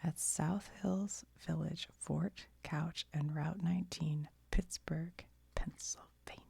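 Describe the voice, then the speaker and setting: A woman with an American accent murmurs in a low-pitched, heavily creaky whisper. Her breath roughens every phrase and blurs the tone. She chats casually and reads aloud as if thinking out loud for an ASMR viewer. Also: steady tone, slow, dry recording. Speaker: host of a whispered show-and-tell video. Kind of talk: ASMR, whispered show-and-tell with reading aloud.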